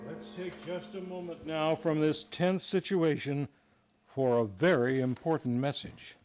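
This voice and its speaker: A man announcing a scripted theatre promo in a showy, deliberate manner. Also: fairly high pitch, steady tone, darkish tone, soft background music.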